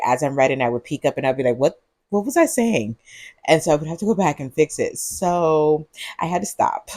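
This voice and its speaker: A woman vlogger, groggy on cold medicine, talking to her viewers in a husky voice and rambling with giggles. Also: very deep voice, a little mellow, no echo. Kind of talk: casual vlog monologue. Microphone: earbuds mic.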